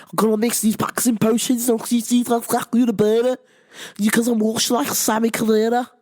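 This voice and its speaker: A woman's voice: fairly high pitch, level tone, fairly quick, a little mellow, close to an everyday voice. A woman putting on an exaggerated Liverpool accent, swaggering and swearing like a rowdy local. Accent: mock Liverpool accent. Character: rowdy boastful Liverpool lad, mock threats.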